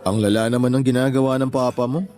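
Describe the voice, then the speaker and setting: A man's voice: mid-range voice, fairly even intonation, fast talker, darkish tone, lightly acted. A man acting in a radio drama as a supportive, easygoing young boyfriend, casual and concerned.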